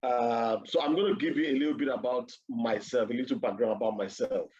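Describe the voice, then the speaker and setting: A man's voice: mid-range voice, a little fast, darkish tone, lo-fi sound. A man from Nigeria, giving an earnest conference talk over a video call and telling his own story. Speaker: conference speaker. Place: office.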